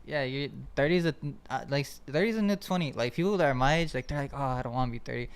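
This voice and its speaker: A man's voice: medium pitch, clean tone. A man in his twenties chatting casually on a podcast, slangy and loose, with lots of "like". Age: 20s. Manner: slangy, fast, repeats "like" often.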